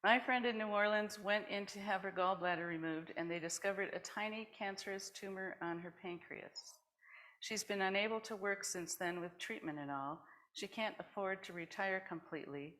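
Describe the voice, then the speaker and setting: A woman's voice: on the low side, moderate pace, fairly bright tone, rough recording. A woman presenting at a worship service, reading short personal stories aloud to a congregation.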